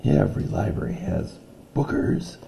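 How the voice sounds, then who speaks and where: A man voicing a tiny, cheerful fairy-tale helper father who exclaims eagerly and loudly. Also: normal speed, slight background noise, close to an everyday voice.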